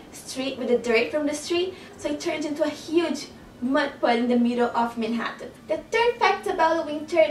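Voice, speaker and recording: A woman's voice: fairly high pitch, slight background noise. A young woman from Brazil with a Brazilian Portuguese accent, chatting to camera in a casual vlog and laughing often. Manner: chatty, laughs often, stresses words by repeating them. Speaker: vlogger. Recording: roomy, slightly distant camera audio.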